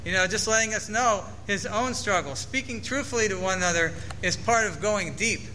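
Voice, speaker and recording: A man's voice: high-pitched, moderate pace, sharp tone, a little hiss or hum, rough recording. A man preaching a sermon to his church, earnestly reading scripture aloud and explaining it. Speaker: pastor.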